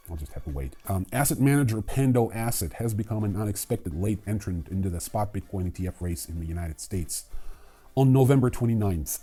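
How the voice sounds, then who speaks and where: A man's voice: deep voice, mellow, breathy edge. A man hosting a news show, giving sardonic, irreverent commentary with casual asides. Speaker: host of a crypto news show.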